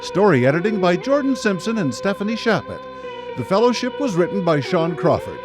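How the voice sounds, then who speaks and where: Animated male radio drama announcer. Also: high voice, fairly quick. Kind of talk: theatrical scripted credits announcing. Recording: music under broadcast announcement.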